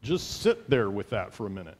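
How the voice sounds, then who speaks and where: A man's voice: on the low side, huge pitch swings, fairly quick. A middle-aged man preaching a sermon from a pulpit in a church, earnest and emphatic, repeating phrases for weight, on a headset mic. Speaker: pastor. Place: large church sanctuary, at a pulpit. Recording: long hall reverb.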